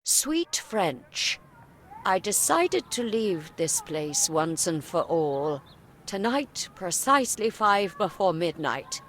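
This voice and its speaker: A woman playing a composed, authoritative orphanage headmistress, measured and skeptical, in an animated adventure game. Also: lowish voice, a little hiss or hum, exaggerated voice. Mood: reading aloud.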